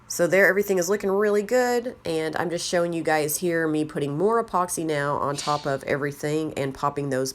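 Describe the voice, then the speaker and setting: A woman with a slightly raspy voice, chatting casually as she walks through a craft tutorial. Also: low-pitched. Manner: chatty, rambling, self-deprecating. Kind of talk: casual how-to narration.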